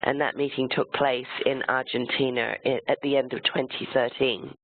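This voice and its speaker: A webinar presenter with a cold and a croaky voice, explaining the material step by step, slide by slide. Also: medium pitch, fairly even intonation, moderate pace, no room sound. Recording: tinny phone-like line, dry sound.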